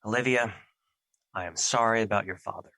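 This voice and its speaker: A man's voice: on the low side, a little fast, pushed and bright, dry recording, rough recording. A man playing a stern, earnest embodiment of an emotion in an audio drama, speaking formally and easily irritated. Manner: formal, serious, stiff phrasing.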